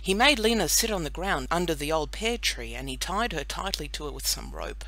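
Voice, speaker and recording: A woman narrating a children's audiobook in an expressive storytelling style. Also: deep voice, fairly quick, rough recording.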